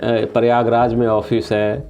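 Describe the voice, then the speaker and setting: A man speaks at a medium pitch over music. He explains like an official being interviewed, listing points and dropping in English terms. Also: completely flat delivery, a little slow, darkish tone, lo-fi sound. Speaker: government official, interview guest.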